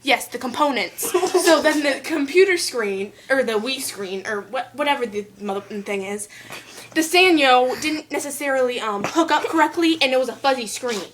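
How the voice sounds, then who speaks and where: A woman's voice: high-pitched, animated, moderate pace, fairly bright tone, slight background noise. A young woman making a prank phone call from her bedroom, rambling nonsense with made-up technical words into a phone on speaker. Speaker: prank caller. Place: bedroom, indoors. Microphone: phone on speaker held in hand.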